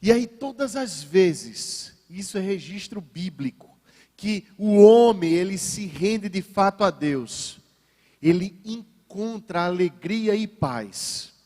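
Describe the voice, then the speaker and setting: A man with a high-set voice and a Northeastern Brazilian accent preaches in a large church. His phrasing is deliberate and emphatic, built on repeated phrases. The hall adds a long reverb to his words. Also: expressive, warm tone. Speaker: pastor preaching at a church service. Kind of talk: sermon.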